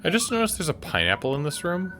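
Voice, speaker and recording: Casual: dude thinking out loud playing a game, bouncy delivery. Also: male voice, a little high, huge pitch swings, fast talker, noisy background. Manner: chatty, musing, unsure. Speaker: let's play gamer. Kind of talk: casual gameplay commentary.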